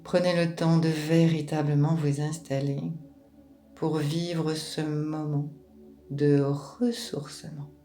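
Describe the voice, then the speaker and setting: Calm lady doing guided meditation, soft dark relaxed voice, really slow n gentle. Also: low-pitched, soft background music. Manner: calm, gentle, unhurried phrasing with pauses.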